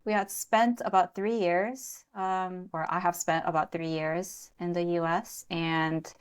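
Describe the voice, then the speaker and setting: Soft-spoken young woman, lowish voice. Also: fairly even intonation, unhurried, smooth. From born in the US, raised in Japan, Korea and the US. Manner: soft-spoken, thoughtful, with fillers and occasional laughs. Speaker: podcast guest, event planner. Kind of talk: casual interview talk.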